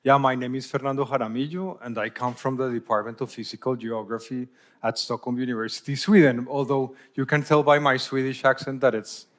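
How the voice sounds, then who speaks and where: A man's voice: mid-range voice, fairly even intonation, a little fast, fairly bright tone. A man from Colombia, giving a conference presentation as a hydrologist and often repeating words as he searches for phrasing.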